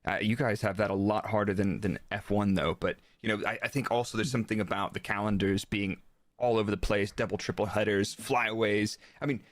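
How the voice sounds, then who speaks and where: A man's voice: fairly low pitch, fairly even intonation, a little fast, no room sound. A man talking casually as an interview guest, explaining technical work in the manner of an engineer. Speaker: guest, former Formula One performance engineer.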